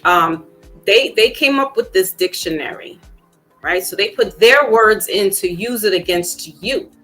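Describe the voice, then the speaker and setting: A woman in a mid-range voice hosts a relaxed talk, thinking aloud with plenty of ums and you-knows. She sometimes pushes her voice up when making a point, with faint music under her. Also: mid-range voice, unhurried, low-quality recording. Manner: reflective, many fillers, rising to emphatic. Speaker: podcast host. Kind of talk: casual conversation.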